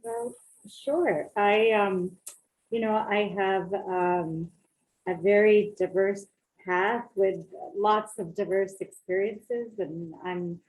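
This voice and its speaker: A woman from Pakistan, a community leader, answering interview questions over a video call in a measured way with some fillers. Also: mid-range voice, animated, darkish tone, a little hiss or hum, poor audio.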